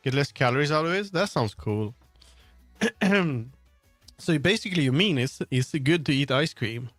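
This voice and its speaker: A man's voice: a little high, expressive, moderate pace, a bit bright, no echo. Rambling male streamer, close dry sound. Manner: rambling, thinks aloud, trails off mid-sentence. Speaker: livestreamer, game developer. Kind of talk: casual rambling livestream chat while working. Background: background music.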